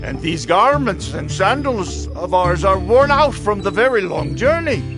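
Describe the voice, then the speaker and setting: A man's voice: high voice, sing-song, unhurried, music in the background, exaggerated voice. A man playing a humble, anxious envoy in a dramatized audio Bible, pleading earnestly. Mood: earnest, persuasive.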